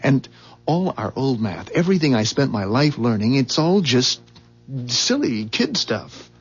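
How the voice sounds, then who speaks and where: Rattled husband, husky and halting. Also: male voice, medium pitch, big pitch swings, exaggerated voice. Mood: stunned, worked up. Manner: halting, stammering, emphatic. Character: rattled, suspicious husband overwhelmed by a discovery. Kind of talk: 1970s radio drama acting. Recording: dull, muffled 1970s radio recording.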